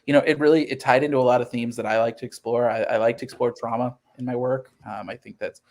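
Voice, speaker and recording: A man's voice: medium pitch, fairly even intonation, quick pace, gravelly, a little mellow. A man in his thirties talking as an interview guest, explaining his creative work earnestly and acting out quotes as he goes. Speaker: interview guest, comic book writer. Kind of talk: conversational interview storytelling.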